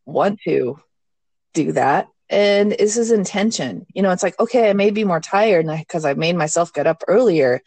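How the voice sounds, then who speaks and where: Low-voiced woman guest, candid and dry. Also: lively intonation, normal speed, darkish tone, no echo. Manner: laughs often, casual and candid. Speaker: podcast guest, mindfulness teacher. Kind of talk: casual conversation.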